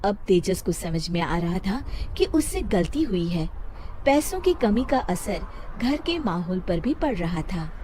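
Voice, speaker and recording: A woman's voice: lowish voice, sing-song, measured pace, warm tone, slight background noise. A woman storyteller reading a scripted moral story as narrator.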